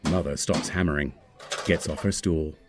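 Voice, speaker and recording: A man narrates a screenplay's action lines. His voice is exceptionally deep, and the delivery is scripted storytelling of each scene. A faint noise sits on the recording. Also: steady tone, moderate pace.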